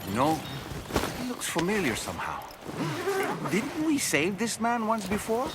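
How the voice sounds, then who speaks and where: An actor playing a helpful companion guiding the player in a video game cutscene. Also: male voice, high voice, wildly swooping pitch, measured pace, very noisy, exaggerated voice. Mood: curious, urgent.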